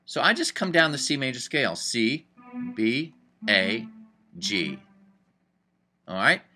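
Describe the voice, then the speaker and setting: A man's voice: mid-range voice, sing-song, takes it slowly, sharp tone. A man teaching music theory in a chatty, upbeat way, thinking aloud as he goes. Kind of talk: casual screen-recorded lesson.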